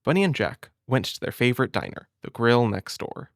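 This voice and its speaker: A man speaking gently and hesitantly in an intimate comfort roleplay, as a big brother reading a storybook. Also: medium pitch, sing-song, a little fast, dry recording, clean studio sound. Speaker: audio roleplay performer as a caring big brother.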